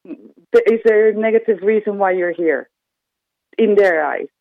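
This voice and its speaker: A woman calling in to a radio phone-in, coming through a tinny, narrow phone line. The sound is dry. She speaks in a measured, thoughtful way. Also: medium pitch, vocal fry, no echo. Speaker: caller.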